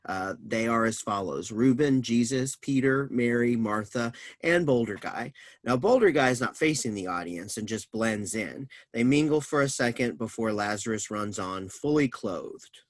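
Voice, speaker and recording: A man's voice: mid-range voice, expressive, normal speed, hardly any pauses, no echo. A man giving scripted narration as the reader of stage directions.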